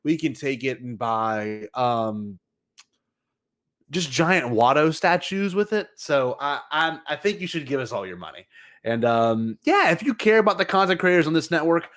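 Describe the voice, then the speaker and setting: Higher-pitched man hosting a jokey podcast. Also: animated, normal speed, fairly bright tone. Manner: rambling, jokey, playfully hyping. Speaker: podcast host. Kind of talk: casual joking podcast chat.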